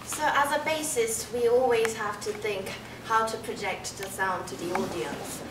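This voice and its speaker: A woman's voice: a little high, measured pace. Young Korean-British accented woman, distant and echoey. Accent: British-influenced Korean. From South Korea. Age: young adult. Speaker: double bassist, concert soloist being interviewed. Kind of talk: onstage interview answers to an audience. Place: concert hall stage. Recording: roomy, noisy hall recording from far off.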